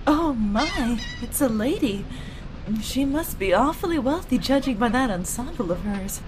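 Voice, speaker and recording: A woman playing an excitable, gushing young newlywed wife in an amateur 1950s-set radio play. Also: fairly high pitch, unhurried, noisy background. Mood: excited. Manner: gushing, dramatic.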